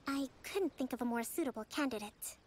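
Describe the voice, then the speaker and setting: A poised young female island leader voiced by a woman in a video game. Her voice is high, her speech polite, firm and diplomatic. There is faint noise in the background. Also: brisk, close to an everyday voice. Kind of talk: scripted video game dialogue.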